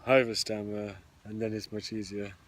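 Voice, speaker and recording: A man in a relaxed, easygoing podcast chat as co-host. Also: lowish voice, a little fast. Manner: relaxed, easygoing, frequent listening murmurs. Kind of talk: casual discussion.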